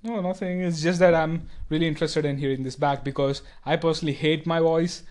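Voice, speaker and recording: A man from India with a mixed Indian accent and Tamil as his first language. His voice sits slightly high, and he talks in a casual podcast chat. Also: fairly even intonation, brisk, darkish tone. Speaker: podcast guest or co-host.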